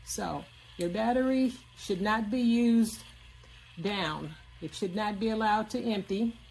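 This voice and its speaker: Female product reviewer, medium pitch, plainspoken and explanatory, casual hands-on demo delivery with frequent mid-sentence pauses. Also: creaky, a little mellow, slight background noise, rough recording.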